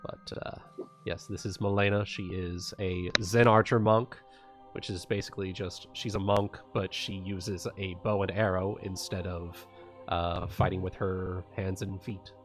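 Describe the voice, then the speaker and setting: Man, lowish pitch, slow pace, tabletop character introduction, faint music. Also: flat delivery.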